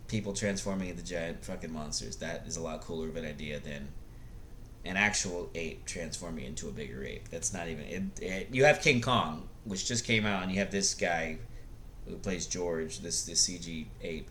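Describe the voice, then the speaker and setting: A man's voice: sits low, normal speed, fairly bright tone, slight background noise. A man giving casual, unscripted opinions like a video blogger, blunt and rambling, often hesitating as he searches for words.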